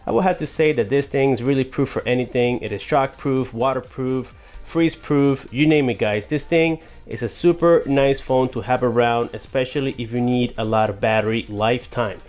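A man's voice: mid-range voice, normal speed, darkish tone, soft background music. Man casually walking through a gadget review. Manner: enthusiastic, explanatory, addresses viewers as guys. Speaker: tech reviewer. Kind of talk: casual unboxing and review narration.

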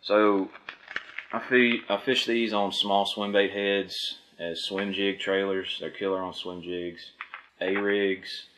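Deep-voiced man, casual unboxing talk. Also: little pitch movement, slow pace, background noise. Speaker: fishing video host. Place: indoors at a table before a backdrop.

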